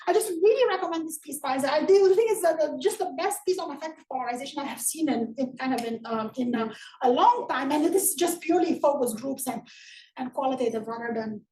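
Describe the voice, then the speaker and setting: A woman speaker thinks aloud in an unscripted Q&A, circling her point with constant "sort of" and "right". Her high voice echoes around a lecture room. She sounds set back from the mic. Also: quick pace, dark tone. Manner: rambling, heavy use of "sort of" and "right" fillers. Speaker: invited speaker answering audience questions. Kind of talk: academic talk Q&A, unscripted. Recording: echoey room, voice from far off, faint noise.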